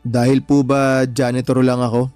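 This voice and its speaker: A man's voice: medium pitch, completely flat delivery, moderate pace, a little mellow, close to an everyday voice. A man playing a humble, patient young janitor in a Filipino radio drama, speaking politely and earnestly with a Filipino accent. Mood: wounded, humble. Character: humble, patient young janitor pleading with girlfriend's disapproving mother. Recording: music bed under the voice.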